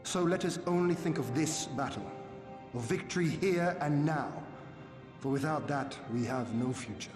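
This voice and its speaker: An actor playing a resolute young lord in a fantasy game, formal and commanding in his deliberate speech. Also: fairly high pitch, natural voice. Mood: solemn, resolute. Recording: roomy, long reverb, clear music behind.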